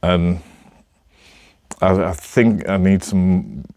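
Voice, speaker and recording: A man's voice: deep voice, fairly even intonation, drawn-out words, warm tone. A man with a Northern English accent chatting casually to camera, rambling in a hesitant, self-deprecating way. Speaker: amateur vlogger.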